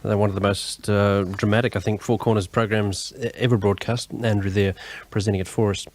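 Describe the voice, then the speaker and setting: A man's voice: lowish voice, level tone, brisk, darkish tone, a little hiss or hum. An Australian man hosting live radio in a conversational way, often restarting his phrases. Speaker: radio host.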